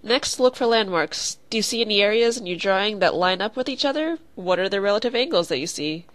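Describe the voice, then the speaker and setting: A woman's voice: mid-range voice, animated, a little fast, dry recording. A woman with a stuffy, cold-stuffed voice, hosting a casual art tutorial, chatty and profane, with lots of "like". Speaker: art channel host.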